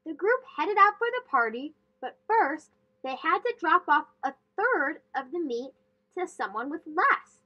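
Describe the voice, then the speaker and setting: A young woman reading a children's picture book aloud in a gentle, expressive, slow and clear way, recorded in her bedroom. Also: sits high, highs cut off. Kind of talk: read-aloud storytelling for children. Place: bedroom with bookshelves and plush toys, indoors.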